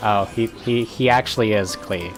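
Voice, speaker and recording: A man's voice: on the low side, normal speed. A man giving casual, rambling video game commentary, often repeating words to himself, with game music behind him.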